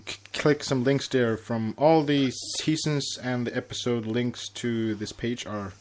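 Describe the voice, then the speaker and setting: A man's voice: mid-range voice, fairly even intonation, unhurried, darkish tone, a little hiss or hum. A man co-hosting a casual fan podcast, starting his sentences hesitantly with repeated words. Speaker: podcast co-host.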